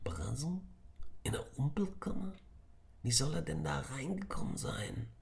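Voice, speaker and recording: A man's voice: mid-range voice, a little fast, voice pushed up. A man reading a storybook, voicing an eager and bold schoolboy friend. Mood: whispering. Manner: excited, then hushed whispering.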